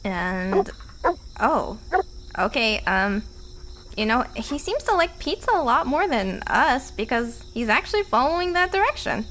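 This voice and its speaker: A woman's voice: on the high side, very animated, measured pace, a bit bright, slight background noise. A woman playing a nervous, wry visitor in an audio drama, rambling and hesitant. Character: nervous, wry human woman on a park tour. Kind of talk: fiction podcast audio drama acting.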